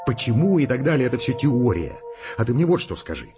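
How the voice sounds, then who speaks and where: A man's voice: mid-range voice, big pitch swings, fairly quick, muffled recording, over music, lightly acted. An actor playing an agitated, sardonic male scientist who argues and broods in a Russian radio drama. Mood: impatient, irritated. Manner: conversational, sardonic, impatient. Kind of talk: Russian radio drama acting and first-person narration.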